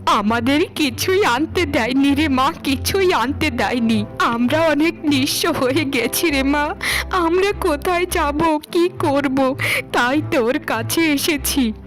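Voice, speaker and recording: A woman plays an ageing landlord's wife in a cartoon melodrama. Her voice is high and plaintive, full of wailing reproach and motherly lament, over faint background music. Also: slow. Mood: tearful pleading. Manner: melodramatic, wailing, stammering when shocked. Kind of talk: Bengali cartoon melodrama acting.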